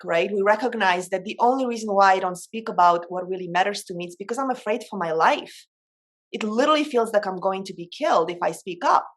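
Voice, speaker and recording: Woman, medium pitch, emphatic manner, informal coaching talk. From Poland. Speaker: coach and speaker. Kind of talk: informal motivational talk on spiritual self-development.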